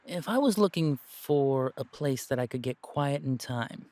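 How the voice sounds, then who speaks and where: A man's voice: mid-range voice, lively intonation, unhurried, darkish tone, no room sound. A man playing an anxious young gamer who doubts himself, hesitant and stammering, in an amateur audio roleplay. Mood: tentative reasoning. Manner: hesitant, stammering, thinking aloud. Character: anxious, self-doubting young male gamer, earnest, reluctant tracker.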